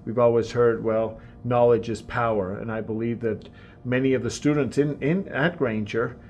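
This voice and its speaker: A man interviewed as an incoming high school principal, earnest, often repeating words as he starts a sentence. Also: steady tone, normal speed, darkish tone, lo-fi sound, a little hiss or hum. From Tanzania and Mexico. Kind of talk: interview answers. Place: school office.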